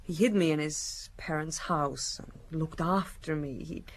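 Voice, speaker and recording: An actress playing an evasive, cunning female criminal under questioning, halting and defensive, in a radio murder mystery. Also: deep voice, slightly rough, full character voice. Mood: subdued confession.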